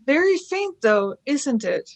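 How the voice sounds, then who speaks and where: A woman's voice: sits high, sing-song, takes it slowly, heavily acted. A woman playing an eager, nervous young female companion in an amateur radio play. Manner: wondering, anxious questions.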